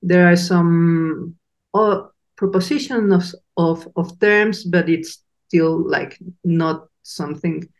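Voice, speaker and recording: A woman's voice: lowish voice, fairly even intonation, slow. A woman from Mexico with a Mexican Spanish accent, a native Spanish speaker hosting an interview and searching for her words with frequent laughs. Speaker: podcast host. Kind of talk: casual interview chat.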